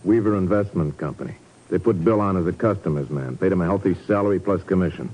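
A man's voice: low-pitched, fast talker, a little mellow, poor audio. A man playing a protective, sorrowful war-veteran friend, firm and emphatic, in a 1950s radio drama. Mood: earnest, rueful.

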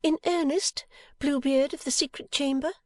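A woman's voice: high voice, fairly even intonation, normal speed, no room sound, studio quality, voice pushed up, natural voice. A woman voicing a pouting, affectionate young wife in a storybook, coaxing and emphatic. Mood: mock injury. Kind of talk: dramatised audiobook character.

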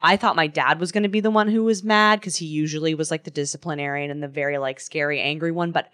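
A woman hosting a podcast, telling stories in a casual, animated way with swearing. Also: sits low, fast, clear, resonant tone. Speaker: podcast host. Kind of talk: casual chat.

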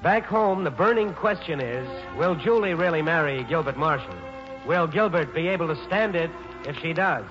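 A man's voice: fairly high pitch, animated, normal speed. A man narrating a 1940s radio drama in a wry, polished announcer manner. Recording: muffled old radio broadcast, music behind.

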